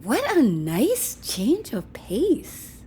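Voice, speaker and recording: A woman's voice: on the high side, huge pitch swings, extremely slow, dark tone, breathy edge, heavily acted. A woman acting in a naturalistic audio drama as a breezy, unapologetic, sardonic woman who teases flippantly. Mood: flippant, amused. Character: breezy, unapologetic woman with an experimental safety chip.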